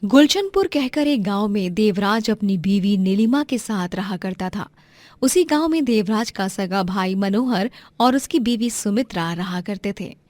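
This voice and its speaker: A woman's voice: medium pitch, animated, measured pace, darkish tone, no echo. Woman narrating a story, mid-range voice.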